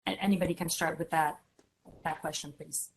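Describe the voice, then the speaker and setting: A woman's voice: sits low, steady tone, brisk, breathy edge. Woman panel moderator from Miami, formal read introductions, occasional uhs. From Miami, United States. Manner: formal, with fillers and restarts. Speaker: moderator, law firm partner.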